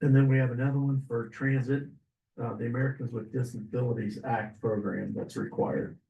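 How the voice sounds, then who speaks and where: A man losing his voice, presenting agenda items at a public meeting in a businesslike way, clearing his throat often. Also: medium pitch, fairly even intonation, a little fast, dull audio. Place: county meeting room. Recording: echoey meeting room, distant mic.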